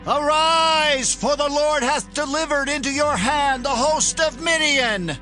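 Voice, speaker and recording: Male actor for bold Israelite commander, high and sharp-edged, emphatic, in a dramatized scripture reading with faint music. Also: unhurried, exaggerated voice. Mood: rousing command. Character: Gideon, adult male Israelite war leader, commanding and bold. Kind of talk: dramatized Bible reading in archaic English.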